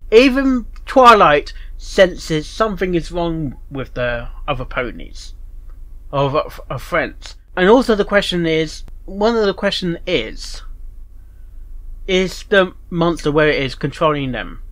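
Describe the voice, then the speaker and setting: A reaction video host giving casual commentary, halting and often repeating phrases. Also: mid-range voice, sing-song, fairly bright tone.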